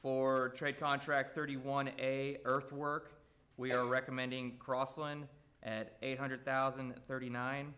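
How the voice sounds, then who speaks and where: Loud, flat-voiced construction rep. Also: male voice, medium pitch, takes it slowly. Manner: loud, steady, even delivery with frequent "uh". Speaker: construction company representative. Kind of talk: presenting bid recommendations at a school board meeting. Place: school board meeting room.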